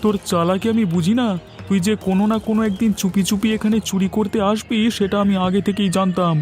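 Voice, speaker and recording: A man's voice: high-pitched, steady tone, fast talker, a little mellow, faint music behind, natural voice. A man voicing a taunting, vengeful farm owner guarding his crop in a cartoon. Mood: gloating. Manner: taunting, defiant. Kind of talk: Bengali cartoon comedy acting.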